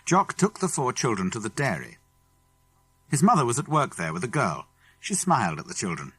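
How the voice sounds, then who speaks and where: A British man narrates a scripted children's audiobook. His voice is medium-pitched and a little rough-edged, with very varied intonation and music underneath. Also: very animated, normal speed.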